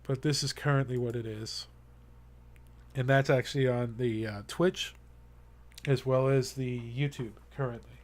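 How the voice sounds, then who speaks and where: A man's voice: medium pitch, fairly even intonation, unhurried, a little mellow. A young man chatting casually to his live-stream viewers, rambling and earnest, with many fillers and restarts. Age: young adult.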